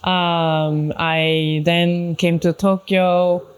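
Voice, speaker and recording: A woman from Switzerland speaks as a guest in a panel conversation. Her voice sits a little low and is clean and resonant, and she explains things in a measured, conversational manner. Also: little pitch movement, smooth. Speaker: panel guest, craft brand founder and designer. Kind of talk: conversational self-introduction in a panel talk.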